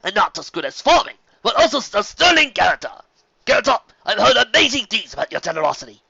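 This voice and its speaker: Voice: mid-range voice, sing-song, fast talker, gravelly, pushed and bright, breathy edge, no room sound, pitched above the performer's own voice, heavily acted. A reader voicing a polite, well-meaning aristocratic stallion who speaks graciously, in a dull lo-fi recording. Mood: courteous. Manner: courteous and gracious.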